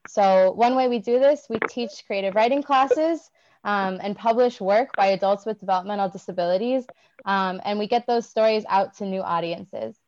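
A woman's voice: mid-range voice, moderate pace, a little mellow, dull audio, slight background noise. A woman program director welcoming a livestream audience in a cheerful, chatty way with frequent ums. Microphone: video call.

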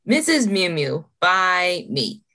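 Woman reading her story aloud, lower voice. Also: sing-song, takes it slowly, bright, forceful tone. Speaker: author.

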